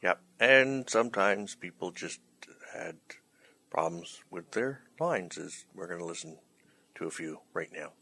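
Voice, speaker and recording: A man hosting in a casual chat, talking in a halting, hesitant way. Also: sits low, expressive, vocal fry, pushed and bright. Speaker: host, writer-director of the play. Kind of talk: casual chat introducing bloopers.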